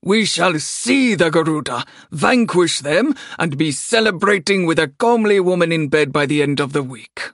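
A man's voice: a little high, expressive, moderate pace, fairly bright tone, no room sound, clean studio sound. A man voicing a pompous, naive young princely nobleman in an audiobook, boastful and haughty, prone to faltering. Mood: breezy, boastful.

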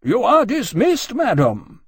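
Man doing an icy, outraged official, pitched up. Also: wildly swooping pitch, slow, breathy edge, exaggerated voice. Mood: icy dismissal. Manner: clipped, formal, cold. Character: icy, outraged male official, curt and contemptuous.

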